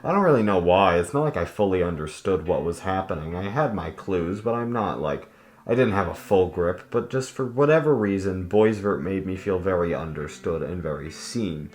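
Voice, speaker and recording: A man's voice: low voice, expressive, moderate pace, roomy sound. A man giving casual, opinionated video essay commentary in an informal, emphatic way that leans on intensifiers and casual swearing. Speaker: host of a video guide.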